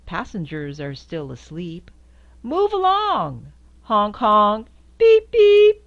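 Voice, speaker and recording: An older woman reads a children's rhyming picture book slowly, her pitch bouncing widely from line to line. Her voice squeaks up high on the sound-effect words, and the home video sound is dull and lo-fi. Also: wildly swooping pitch. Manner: sing-song, squeaks high on the horn sounds. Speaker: storybook reader. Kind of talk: reading a picture book aloud. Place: indoors before a quilt backdrop.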